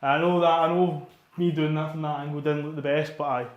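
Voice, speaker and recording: Male Scottish fitness vlogger, slightly high voice, bunged up with a cold, informal and a bit sweary, roomy echoing sound. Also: level tone, brisk, muffled recording. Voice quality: congested, sniffly. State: getting over a cold. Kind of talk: casual workout vlog chat and rep counting.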